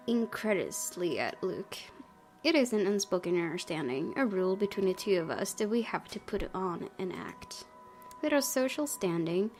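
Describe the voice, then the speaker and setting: A woman's voice: medium pitch, darkish tone. A woman hosting a let's play, reading visual novel text aloud and adding casual comments. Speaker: gaming video host. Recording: game music under the voice.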